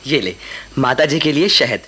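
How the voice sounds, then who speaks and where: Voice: mid-range voice, expressive, normal speed, natural voice. A performer playing a cheeky young cook's son in a radio play, casual and teasing. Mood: teasing, insistent. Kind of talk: Hindi radio play acting. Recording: old noisy radio play recording, hum.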